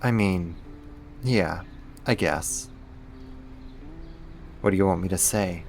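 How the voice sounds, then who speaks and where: A man's voice: low voice, takes it slowly, vocal fry, a little mellow, faint music behind, exaggerated voice. A man acting a casual, lightly teasing roommate who is secretly a supervillain, in a conversational ASMR roleplay. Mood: sheepish, casual. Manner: casual, laid-back, lightly teasing, slangy.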